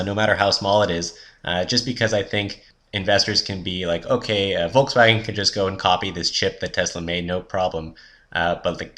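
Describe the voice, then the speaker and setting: A man with a very low voice talks through prepared questions as an investing show host. His delivery is hesitant, broken up often by "uh" as he explains his thinking. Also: pushed and bright. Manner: hesitant, frequent "uh" pauses.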